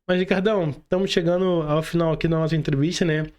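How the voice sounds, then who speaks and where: A man's voice: fairly high pitch, steady tone, fast talker, a little mellow. A Brazilian man speaking casually as a podcast host, in informal, slangy talk addressed straight to his listeners. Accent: Brazilian Portuguese.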